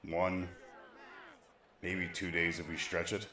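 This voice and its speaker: A man's voice: low-pitched, flat delivery, slow, bright, forceful tone, exaggerated voice. A man playing a grave, weary survivor leader in an apocalyptic audio drama, speaking in a terse, solemn, dramatic way. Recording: roomy echo, faint dramatic music behind.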